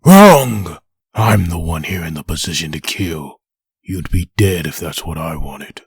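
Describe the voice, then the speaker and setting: A deep, very husky undead soldier, acted by a man for a comic dub. He is grim and forceful, taunting his enemy with bitter menace. The sound is dry and close. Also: animated, a little slow, no room sound, exaggerated voice. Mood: furious, accusing. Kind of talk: comic dub dramatic acting.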